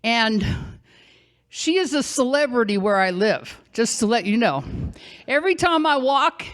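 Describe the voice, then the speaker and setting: Woman storyteller on stage with a loud, high voice, animated and unhurried. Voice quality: loud, projecting voice. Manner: animated, conversational, acts out shouting and quotes. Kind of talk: live personal storytelling on stage. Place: theater stage.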